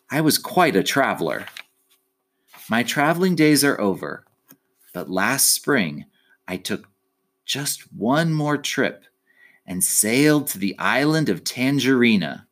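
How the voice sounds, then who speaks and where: A man's voice: mid-range voice, fairly bright tone, full character voice. A man voicing an old female alley cat, polite and well-traveled, reminiscing in a courteous storybook manner.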